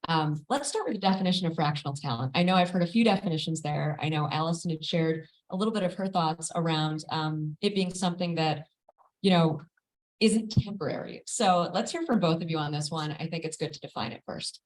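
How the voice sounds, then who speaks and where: A woman's voice: low voice, fairly quick, low-quality recording. A woman hosting a live panel conversation, introducing guests in a friendly, conversational way in an event space. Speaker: panel moderator.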